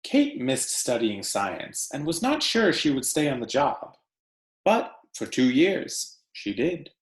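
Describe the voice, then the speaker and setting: A man teacher reading aloud to children, with deliberate storybook phrasing and casual delivery. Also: medium pitch, very animated, reverberant.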